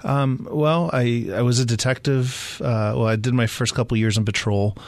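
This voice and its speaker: A middle-aged man from Southern California, a native Spanish speaker with an American accent, talking casually and a little haltingly as an interview guest. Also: medium pitch, steady tone. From Southern California, United States. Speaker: police sergeant, interview guest.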